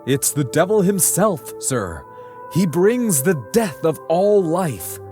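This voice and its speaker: A man voicing a frightened frontier preacher who cries out about doom in a shrill, hysterical way, over background music. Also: very animated, slow pace. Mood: terrified.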